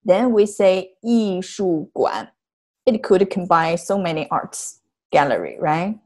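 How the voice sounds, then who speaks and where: A woman's voice: on the low side, lively intonation, slow. Young woman with a Mandarin Chinese accent, patiently explaining. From Northeast China. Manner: patient explaining, frequent fillers and soft laughs. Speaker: Chinese teacher. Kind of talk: casual language lesson on a video call. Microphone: video call, earphone mic.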